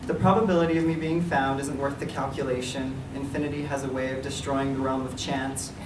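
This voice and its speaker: A man giving a measured, solemn reading of a literary piece before a live event. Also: mid-range voice, level tone. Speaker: writer reading own work. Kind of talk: literary reading, dramatic monologue in verse. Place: public reading in a library room. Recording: echoey room, distant mic, clear noise.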